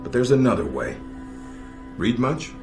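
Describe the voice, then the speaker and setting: An actor playing a calm, sardonic middle-aged spy handler in a video game, measured and dryly blunt. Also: male voice, mid-range voice. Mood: measured, instructive. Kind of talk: video game cutscene acting. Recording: roomy echo with music behind.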